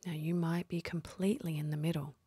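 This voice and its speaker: A woman leading a guided meditation in a soft, husky, breathy voice, speaking slowly and soothingly with long pauses. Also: low voice, steady tone, dry recording.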